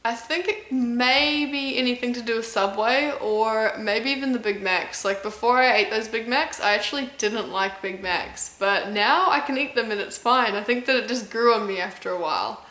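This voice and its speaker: A woman with a mix of New Zealand and American accent, a competitive eater answering viewer questions in a chatty way, often pausing with "um" and tripping over names. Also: on the high side, sharp tone, reverberant. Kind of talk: casual Q&A vlog.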